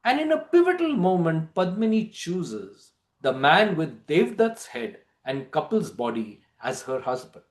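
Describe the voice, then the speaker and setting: High-pitched, animated male storyteller. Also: measured pace. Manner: theatrical, expressive. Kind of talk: dramatic storytelling, play reading.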